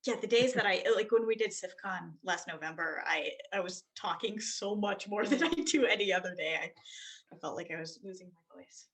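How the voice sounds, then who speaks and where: A woman's voice: medium pitch, expressive, fairly quick. A woman author chats about her work on a video call. She sounds friendly and eager, with a mid-range voice. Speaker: author and player. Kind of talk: casual chat on a video call.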